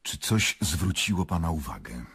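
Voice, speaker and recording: Radio drama: official, probing prosecutor, deep and slightly husky, varied intonation. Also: male voice, sing-song, moderate pace, fairly bright tone, exaggerated voice. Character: brisk, persistent male prosecutor questioning witnesses, dry and official. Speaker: actor in a radio play.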